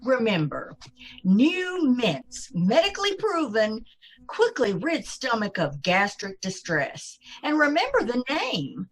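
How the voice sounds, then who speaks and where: Slow, husky woman radio announcer. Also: on the high side, wildly swooping pitch, rough recording. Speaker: commercial announcer. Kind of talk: scripted old-time radio advertisement. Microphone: video call.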